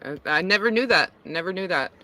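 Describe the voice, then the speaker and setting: Man, very bright voice, lively pitch, teasing, dry sound. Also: fairly quick, poor audio. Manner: teasing, laughs often. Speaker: host. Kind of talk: casual interview chat.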